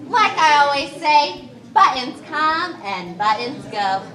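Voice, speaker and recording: A woman's voice: high-pitched, sing-song, slow pace, bright, forceful tone, full character voice. Woman voicing Pete, a cheerful easygoing cat puppet. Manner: sing-song, upbeat and unbothered. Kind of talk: live library puppet show. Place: large echoey library room. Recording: echoey room, children in audience.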